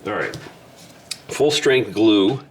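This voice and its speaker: Congested low-voiced man, relaxed. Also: slow pace, slight background noise. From Southern California. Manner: relaxed, rambling, many fillers. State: congested from the flu. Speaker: model railroad hobbyist host. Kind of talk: casual hobby vlog. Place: home layout room.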